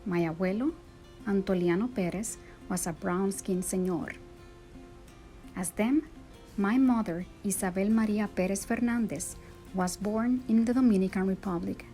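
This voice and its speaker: Earnest Puerto Rican woman, mellow voice. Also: mid-range voice, normal speed, soft background music. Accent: Puerto Rican Spanish. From Puerto Rico. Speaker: speaker. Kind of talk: personal story talk.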